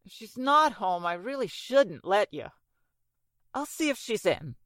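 A woman acts out a polite, fussy landlady in a vintage radio play. She sounds proper and a little hesitant, her mid-pitched voice moving in lively swings. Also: measured pace. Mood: firm, reluctant. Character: fussy, polite female apartment building manager. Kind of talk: 1940s radio drama acting.

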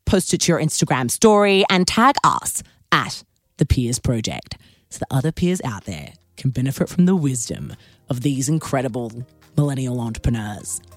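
A woman's voice: very deep voice, sing-song, normal speed, dry recording, clean studio sound, faint music behind. A woman hosting a podcast, reading an upbeat intro and then chatting enthusiastically with her guest. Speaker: podcast host. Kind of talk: scripted intro then interview chat.

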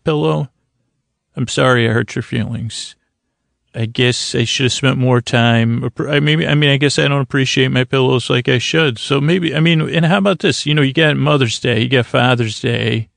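Guy doing a sleepy-time podcast monologue, creaky voice, dry close sound, stumbles and wanders around, kinda whimsical. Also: mid-range voice, a bit bright, no echo. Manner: meandering, halting, stammering, trailing off mid-phrase; whimsical, mock-earnest. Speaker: podcast host. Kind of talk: rambling, improvised bedtime monologue to help listeners sleep.

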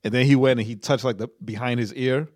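Excitable man in podcast banter. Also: medium pitch, no echo, clean studio sound. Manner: emphatic, excitable, tag questions and quick laughs. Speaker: podcast co-host. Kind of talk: casual chat about a TV episode.

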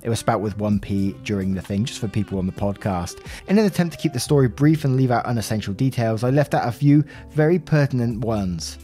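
Mellow-voiced male storyteller with soft background music. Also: lowish voice, animated, fairly quick, hardly any pauses. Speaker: podcast host reading out online posts. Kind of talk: reading a written story aloud with brief asides.

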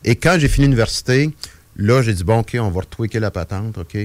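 A man's voice: lowish voice, a little fast, dry recording. An older man with a Quebec French accent telling anecdotes in a casual, jokey radio chat, often interrupting himself and wandering off. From Trois-Rivières, Quebec. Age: 50s or older. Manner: rambling, jokey, animated storytelling with self-interruptions. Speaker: guest magician. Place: dry radio studio.

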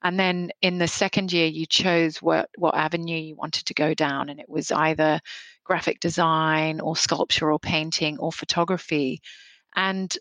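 A woman's voice: low-pitched, flat delivery, moderate pace, fairly bright tone, no room sound, rough recording. A woman in her forties from Kenya, a photographer answering interview questions in a reflective way, often restarting and repeating words.

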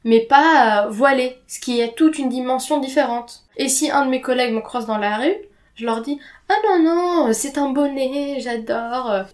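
A woman's voice: high voice, moderate pace. A young woman whose native language is Arabic, talking candidly and informally about her own life as a podcast guest, with slang and the odd English word. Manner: informal slangy talk with occasional English words. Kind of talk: candid personal account in casual conversation.

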